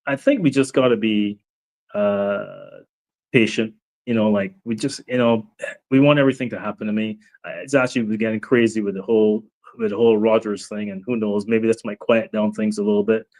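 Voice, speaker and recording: A man with a Barbadian accent, a guest on a sports talk show, speaking in a measured, thoughtful way with some hesitations. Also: fairly low pitch, darkish tone. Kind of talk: casual sports chat.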